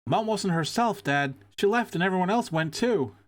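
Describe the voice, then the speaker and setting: A man's voice: sits high, lively intonation, normal speed, voice pushed up. A man voicing an upset young boy from a video game, pleading with his father.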